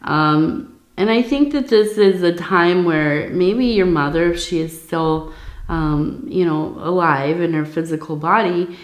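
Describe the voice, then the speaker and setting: A woman reading tea leaves in a soft-spoken ASMR style, hoarse from losing her voice, rambling with many fillers and a dry mouth. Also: lowish voice, unhurried, echoey room. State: losing her voice, sore throat. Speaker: tea leaf reader.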